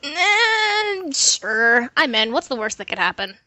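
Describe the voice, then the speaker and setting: A woman's voice: high-pitched, sing-song, slow pace, sharp tone, no room sound. A woman co-hosting a podcast, mixing casual chat with playfully theatrical, wry skit reading. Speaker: podcast co-host. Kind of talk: casual chat and scripted skit read live.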